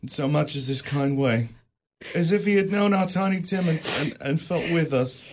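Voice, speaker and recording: A man playing a gentle, halting grieving clerk. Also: fairly high pitch, slight background noise, lightly acted. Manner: soft, halting, tearful. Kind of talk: radio drama acting.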